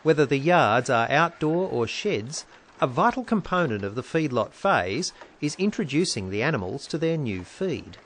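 A man reading scripted documentary narration in an even, measured way. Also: mid-range voice, a little hiss or hum. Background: music.

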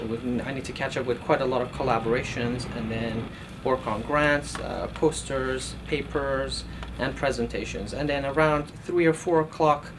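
A man's voice: medium pitch, noisy background. A man in his thirties, from Iran, speaking earnestly in an interview as a postdoctoral researcher, with many fillers and restarts, recorded in a lab office. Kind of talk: documentary interview.

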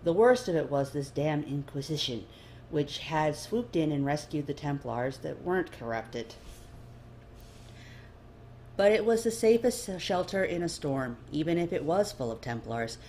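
A woman's voice: low voice, lively intonation, unhurried, warm tone, slight background noise, poor audio. A woman reading fiction aloud as scripted audiobook narration. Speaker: fan fiction reader.

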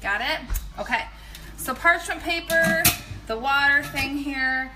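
A woman's voice: high voice, slow pace, over loud music, poor audio. A pregnant woman in her thirties, a nutrition coach, chatting casually and rambling through meal prep tips in her home kitchen, filmed on a phone held at arm's length. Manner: chatty, rambling, animated asides. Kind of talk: casual live video tips.

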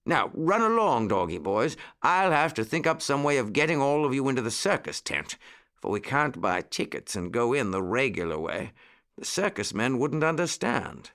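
A man voicing a kindly, fussy old gentleman rabbit in a children's story, with a genteel, exclamatory manner. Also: mid-range voice, big pitch swings, moderate pace, voice pushed up.

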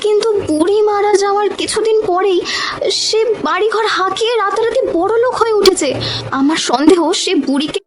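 A woman's voice: very high voice, fairly quick. A woman playing an aggrieved village wife in a cartoon, imploring and tearful on behalf of her accused husband. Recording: music behind the voice.

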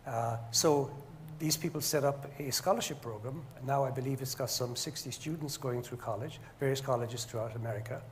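Older male panelist, medium pitch, measured and hesitant, slight background noise. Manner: deliberate, hesitant with frequent pauses. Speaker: panelist, engineer. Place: stage of a lecture hall, indoors. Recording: faint noise in a hall.

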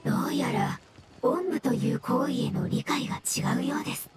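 A woman voicing a gentle, earnest fungus spirit in a Japanese game, speaking politely and with measured formality. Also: high voice, lively intonation, loud music behind the voice.

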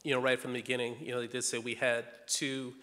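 A man from the finance side answers on a panel in a steady, flat, mid-range voice, peppering his speech with "you know." A long reverb from the large hall trails his words. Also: mid-range voice, fast talker. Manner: leans on "you know" constantly. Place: large auditorium. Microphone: table mic.

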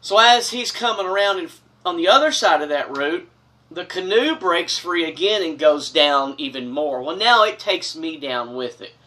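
Animated man telling a story. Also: fairly high pitch, moderate pace, far from the mic. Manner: animated, gestures broadly with both hands. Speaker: storyteller host. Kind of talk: casual spoken storytelling. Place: small wood-paneled room, seated on couch.

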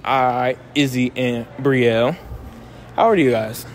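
A man's voice: medium pitch, unhurried, slight background noise. A young man hosting casual street interviews outdoors, joking and teasing in slangy talk.

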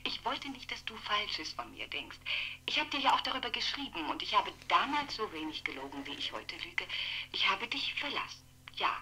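An actress playing an earnest, self-justifying middle-aged former lover in a 1960s radio play, pleading emotionally through a telephone. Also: female voice, mid-range voice, big pitch swings, moderate pace, sharp tone, exaggerated voice. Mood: insistent pleading. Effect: heard through a telephone. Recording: noisy, humming old telephone line.

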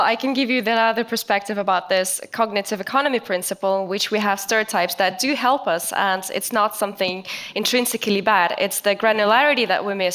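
A woman's voice: mid-range voice, a bit bright. Analytical woman panelist on stage. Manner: analytical, academic phrasing. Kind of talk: panel discussion. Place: conference stage. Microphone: headset microphone.

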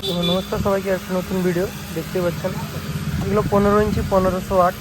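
A man with a West Bengal Bengali accent talks quickly in a high voice, like a shop owner showing off goods on his channel. He stresses and repeats his points, often checking that viewers follow. The phone recording has a noticeable hum and hiss behind him. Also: little pitch movement. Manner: repetitive, emphatic sales talk with frequent check-in tags. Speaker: speaker repair shop owner and video host. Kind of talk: casual product showcase vlog. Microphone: phone camera. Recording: noisy, engine-like hum and hiss.

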